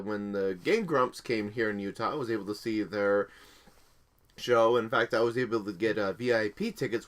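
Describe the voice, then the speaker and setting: Young man, podcast host, lively pitch, bright tone, rambling and laughing. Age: young adult. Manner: laughs often, rambling storytelling. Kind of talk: casual chat.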